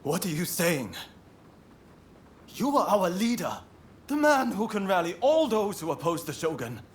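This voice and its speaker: An actor playing a fiercely loyal, impatient young samurai with a blunt, urgent way of talking. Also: male voice, high voice, big pitch swings, a little slow. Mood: pleading. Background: loud music.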